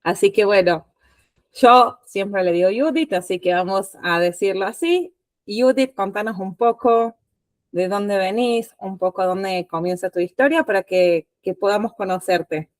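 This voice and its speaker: A woman's voice: mid-range voice, unhurried, dry recording. A woman, hosting an interview podcast and asking her guest questions in an informal way. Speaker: podcast host.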